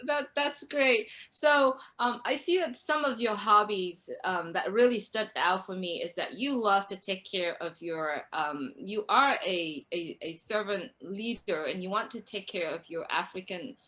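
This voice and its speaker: A woman's voice: medium pitch, animated, unhurried, few pauses, bright, forceful tone. A female co-host in a casual interview who asks her questions hesitantly, with frequent "um" fillers.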